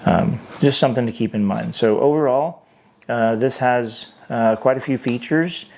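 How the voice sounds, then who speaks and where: A man's voice: medium pitch, normal speed, creaky, darkish tone. A man giving an unscripted hands-on product review as host, thinking aloud in a hesitant way.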